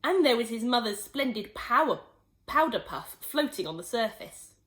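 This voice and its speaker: Animated woman reading a children's story. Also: fairly high pitch, normal speed. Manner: expressive, animated read-aloud. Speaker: storyteller teacher.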